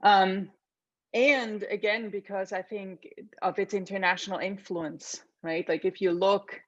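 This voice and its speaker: A woman's voice: medium pitch, fairly even intonation, measured pace, no echo, lo-fi sound. A woman from Switzerland answering as a panelist in an online discussion, hesitant and full of fillers and tag questions. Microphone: video call.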